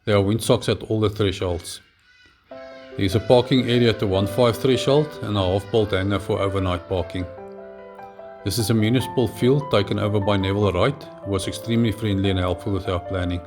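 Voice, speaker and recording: A man reading a measured, factual travel voice-over as a pilot narrator. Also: on the low side, steady tone. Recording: voice-over with music behind.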